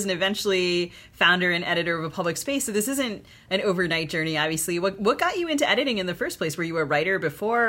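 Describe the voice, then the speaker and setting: A woman's voice: medium pitch, a bit bright. A woman co-hosting a literary podcast, asking the guest friendly, curious questions. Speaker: podcast co-host. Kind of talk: podcast interview.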